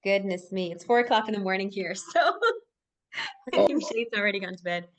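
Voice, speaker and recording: A woman's voice: mid-range voice, fairly quick. A woman hosting a table read and narrating its screenplay directions. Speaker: stage-direction narrator and host. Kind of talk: scripted narration.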